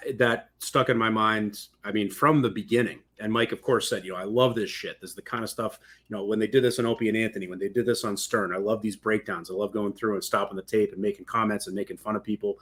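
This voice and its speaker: A man's voice: lowish voice, steady tone, fast talker, hardly any pauses, fairly bright tone, slightly rough. A man co-hosting a podcast in casual conversation, agreeable and fond of long, winding explanations. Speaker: podcast co-host.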